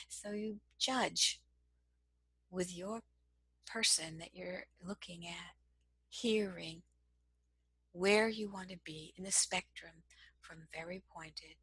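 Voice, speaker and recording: Soft, breathy woman teaching slowly. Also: mid-range voice, dry recording. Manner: slow, measured and gentle. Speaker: cross-cultural communication trainer. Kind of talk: instructional lesson to camera.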